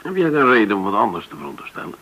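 A man's voice: lowish voice, very animated, racing, breathy edge, lo-fi sound. A man acting in a radio play as a guarded, polite businessman who hesitates and asks probing questions.

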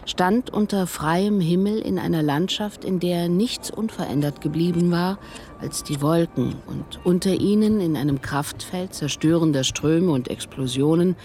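A woman's voice: fairly low pitch, moderate pace, darkish tone, slight background noise. A woman narrator giving a scripted, literary recitation for a radio piece.

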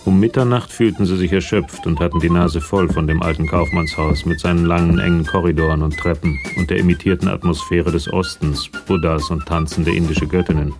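A sober narrator in a radio crime drama. The voice is very low with audible fry, measured and detached, with faint music behind it. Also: male voice, fairly even intonation.

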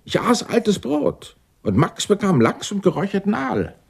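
A pompous, excitable clerk in his sixties, swooping voice. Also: sits high, normal speed, gravelly, breathy edge, exaggerated voice. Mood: aggrieved. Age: 60s. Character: eccentric, indignant 64-year-old Dutch tax-law clerk, self-righteous. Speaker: murder suspect being questioned. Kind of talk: theatrical radio drama acting.

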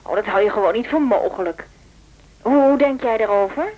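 A pushy young woman, with a fairly high voice and an informal, chatty delivery. She is brisk and insistent, a schemer in a radio play. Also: lively intonation, narrow, tinny audio. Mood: breezy, persuasive. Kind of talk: Dutch radio drama dialogue.

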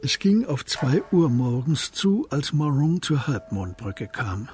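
A man narrating a scripted crime radio drama in a measured storytelling manner. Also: medium pitch, big pitch swings, darkish tone, soft background music.

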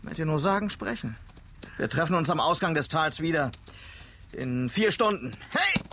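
High, bright, eager western lawman. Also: big pitch swings, moderate pace, slight background noise, full character voice. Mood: determined. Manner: inquisitive, insistent. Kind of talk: radio drama acting.

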